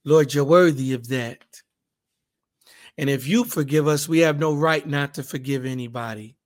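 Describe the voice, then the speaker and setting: A man with a hoarse, raspy voice, hosting a casual Bible podcast and leading a fervent spoken prayer. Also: fairly high pitch, moderate pace. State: hoarse, raspier than usual.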